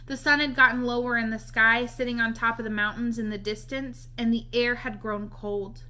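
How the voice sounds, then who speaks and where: Bright, high-voiced woman reading a story. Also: level tone, moderate pace. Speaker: read-aloud reader. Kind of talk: expressive storybook narration.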